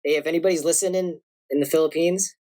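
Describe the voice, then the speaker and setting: A man's voice: a little high, fairly even intonation, fast, warm tone. Young Canadian male, Canadian accent, easygoing slang-heavy podcast guest. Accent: Canadian English. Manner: laid-back, slangy, swears a lot. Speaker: guest, personal trainer. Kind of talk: casual chat.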